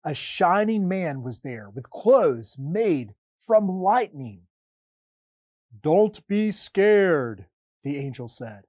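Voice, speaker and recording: A man reading a children's Bible story aloud as a priest, with dramatic, deliberate phrasing and pauses. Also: on the high side, very animated, darkish tone, no echo, clean studio sound.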